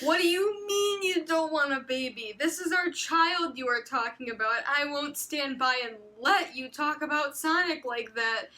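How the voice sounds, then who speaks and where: Woman acting out distraught expectant mother, high sharp voice, overwrought, pleading, comedic storybook melodrama. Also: moderate pace, hardly any pauses. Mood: tearful pleading.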